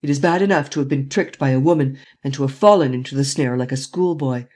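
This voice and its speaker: A reader voicing a daring, commanding gentleman thief who speaks urgently and forcefully in an audiobook. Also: mid-range voice, fast, natural voice. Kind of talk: audiobook reading.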